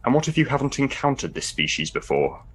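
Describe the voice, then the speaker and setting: A man's voice: mid-range voice, sing-song, fast, fairly bright tone, slight background noise. A man playing a sceptical, questioning military colleague in an audio drama.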